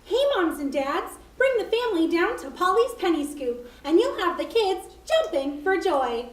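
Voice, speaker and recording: A woman playing a peppy, cheerful pitchwoman in a radio advertisement parody. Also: sing-song, normal speed, far from the mic. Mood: chirpy. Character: peppy cheerful ice cream commercial pitchwoman. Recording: roomy recording, off mic.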